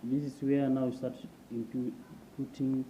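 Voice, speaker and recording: A man's voice: medium pitch, moderate pace, reverberant. A man co-hosting a casual tutorial, repeating and confirming each step and adding joking asides in Swahili and English. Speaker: co-host. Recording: dull lo-fi sound with steady noise.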